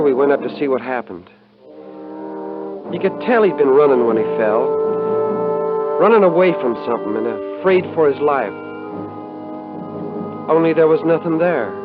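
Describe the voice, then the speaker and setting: A somber rancher played by a male actor narrates in grave, measured 1950s radio drama style. His medium-pitched voice is thin and phone-like, with clear music behind it. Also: animated, close to an everyday voice. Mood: grave, haunted. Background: dramatic orchestral music.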